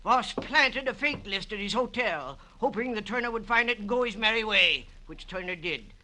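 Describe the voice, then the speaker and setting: An actor playing a smug, vicious little crime boss in an old radio crime drama, theatrical and quick to turn shrill. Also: male voice, lively intonation, sharp tone, exaggerated voice. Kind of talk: 1940s-50s radio crime drama acting. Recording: vintage radio broadcast recording.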